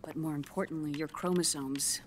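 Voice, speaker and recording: An actress plays a grave female scientist in a dramatic video game cutscene. Her low voice moves in measured, deliberate phrases, earnest and clinical, with breathy pauses. There is noise behind her. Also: fairly even intonation, close to an everyday voice. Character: grave, earnest female scientist explaining grim news gently.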